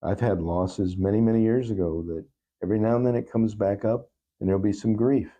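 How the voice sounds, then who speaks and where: A man speaking as a podcast guest in a reflective interview, gentle, reassuring and deliberate. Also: fairly low pitch, steady tone.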